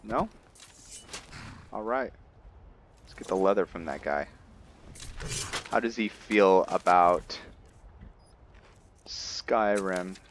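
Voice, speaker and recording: Slow, deep-voiced man thinking aloud. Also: a little hiss or hum. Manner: hesitant, musing, thinking aloud. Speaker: gaming video host, role-playing a character. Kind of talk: unscripted gameplay commentary.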